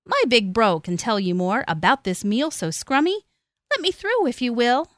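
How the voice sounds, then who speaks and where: A woman's voice: on the high side, animated, normal speed, dry recording, voice pushed up, natural voice. A woman voicing a small young male storybook goat, sweet, chirpy and crafty. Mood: sweetly coaxing.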